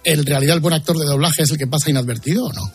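A man with a fairly high voice hosts an interview. He talks in a casual, joking way, chatting as much as asking. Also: racing, faint music behind. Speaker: interview host. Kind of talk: casual, jokey radio interview.

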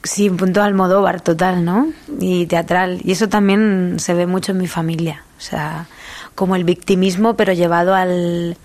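Chatty Manchego woman, slightly low voice. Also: level tone, moderate pace, a little mellow. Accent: Manchego with Murcian touches. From La Mancha, Albacete, Spain. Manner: chatty, many restarts and fillers, laughs easily. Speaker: singer, interviewee. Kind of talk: casual interview chat.

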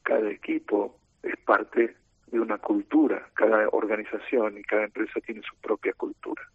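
A middle-aged man with an Argentine accent, a team coach explaining his ideas in a measured, lecturing way in a radio interview. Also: lowish voice, fairly even intonation, husky, dry recording. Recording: tinny phone line.

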